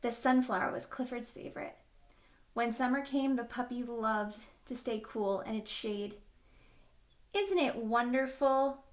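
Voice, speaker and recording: A woman reading a children's storybook aloud, expressive, with drawn-out emphasis for young listeners. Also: a little high. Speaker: children's book reader. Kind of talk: animated storybook read-aloud.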